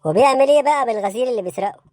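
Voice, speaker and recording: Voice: medium pitch, expressive, rapid-fire, a little mellow, no room sound, clean studio sound, natural voice. A performer voicing a baffled, bossy police interrogator in an Egyptian comedy cartoon, brusque and insistent, with an Egyptian accent. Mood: incredulous.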